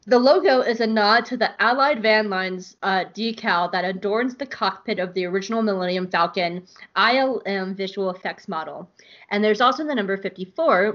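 A woman hosting a casual podcast, gushing and excitable, swearing freely and often laughing. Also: mid-range voice. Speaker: podcast host. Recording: dull lo-fi sound.

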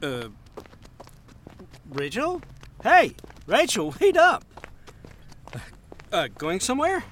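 A man's voice: sits high, huge pitch swings, slow pace, fairly bright tone, slight background noise, heavily acted. An actor in an audio drama playing a smarmy, pushy male buddy with a glib, jokey delivery. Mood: sheepish, fishing.

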